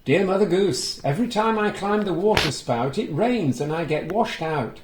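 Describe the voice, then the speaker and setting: A man's voice: sits high, animated, normal speed, echoey room, background noise, pitched above the performer's own voice. A man voicing a small, hapless storybook spider with a plaintive, emphatic delivery.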